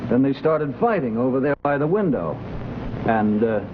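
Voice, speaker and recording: A man's voice: medium pitch, animated, moderate pace, natural voice. A man playing an urgent, insistent schemer in a 1940s radio drama. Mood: guarded, hesitant. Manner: insistent, tense delivery. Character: urgent, scheming man pushing a cover story. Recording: dull, noisy old radio recording.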